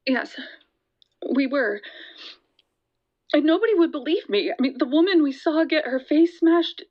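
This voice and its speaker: A woman playing a guilt-ridden young caller to a radio show, telling her story in a halting, earnest, emphatic way on a dull line. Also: sits high, expressive. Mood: insistent, distressed. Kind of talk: dramatic confessional storytelling in an audio drama.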